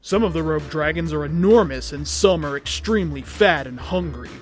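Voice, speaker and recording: A man's voice: a little high, fairly quick, faint music behind. A man reading a story aloud as host, with a theatrical, comedic delivery that acts out the dialogue.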